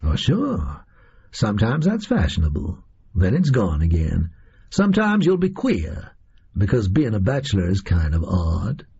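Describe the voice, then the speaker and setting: A man's voice: on the low side, huge pitch swings, unhurried, gravelly, warm tone, slightly rough, lo-fi sound, heavily acted. A man voicing a brash, gleeful schemer in an audiobook story, breezy and slangy in manner. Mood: breezy, teasing.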